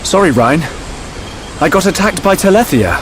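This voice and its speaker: A man's voice: high-pitched, expressive, normal speed, background noise. A man with a British accent voicing an earnest, inquisitive young hero in a dramatic video game. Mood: insistent.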